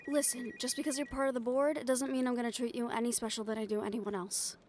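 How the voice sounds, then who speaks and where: A woman's voice: fairly high pitch, level tone, quick pace, darkish tone, a little hiss or hum, close to an everyday voice. A woman playing a brash, stubborn, ambitious young reporter in an improvised audio roleplay, with a sarcastic, cocky, conversational delivery. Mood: cocky, defiant.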